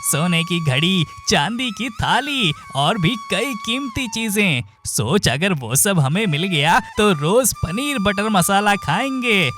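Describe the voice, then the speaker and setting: A man's voice: high-pitched, big pitch swings, takes it slowly, few pauses, full character voice. A man voicing a greedy, bossy thief leader who scolds and schemes. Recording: background music.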